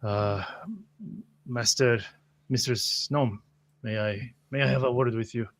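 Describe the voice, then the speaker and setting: A man roleplaying at a tabletop game, improvising in a formal, courteous, measured manner. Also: medium pitch, fairly even intonation, rough recording.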